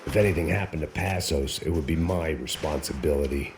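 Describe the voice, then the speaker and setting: A world-weary noir narrator. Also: male voice, rumbling low, fairly quick, a little hiss or hum. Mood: brooding. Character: weary, grieving male ex-cop narrator. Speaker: game protagonist narrating.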